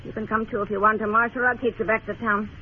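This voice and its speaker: A woman's voice: fairly high pitch, flat delivery, quick pace, narrow, tinny audio, close to an everyday voice. An actress playing a hard, imperious frontier woman in a radio Western, giving curt orders. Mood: grim, commanding. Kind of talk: 1950s radio drama acting. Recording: thin crackly old radio recording.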